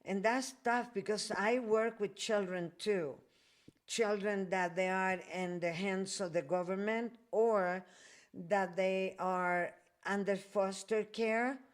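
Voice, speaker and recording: Slow, reflective woman. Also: mid-range voice. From Chile and Peru. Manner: slow, reflective, searching for words. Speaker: podcast host. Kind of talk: conversational interview.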